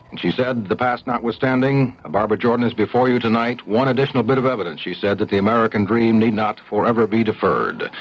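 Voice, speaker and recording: Deep-ish guy reading the news steady and even, old muffled radio tape. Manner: measured, even newsreader delivery. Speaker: news anchor. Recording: dull lo-fi 1970s radio broadcast.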